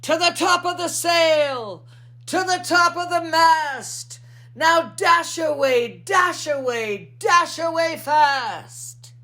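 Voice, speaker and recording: A woman voicing a mean old pirate Santa, calling out commands to his team in loud, drawn-out cries. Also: extremely high pitch, expressive, voice pushed up, exaggerated voice. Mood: rousing, commanding.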